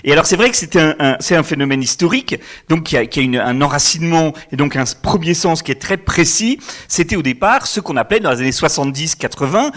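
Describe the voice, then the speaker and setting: A man, a guest author on a book-fair panel, explaining in a measured way. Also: fairly high pitch, lively intonation, fairly bright tone.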